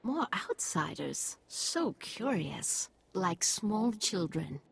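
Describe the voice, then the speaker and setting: An actress playing a cryptic, solemn alien guide in a video game, speaking in terse, aloof phrases with a husky voice. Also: female voice, on the low side, big pitch swings, slow, exaggerated voice. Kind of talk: video game cutscene acting.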